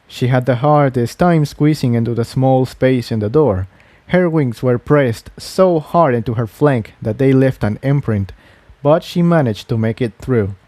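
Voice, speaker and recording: A man's voice: mid-range voice, a little mellow, dry recording. A man reading a fantasy adventure story aloud as its narrator, in scripted narration. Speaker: narrator, fan fiction reader.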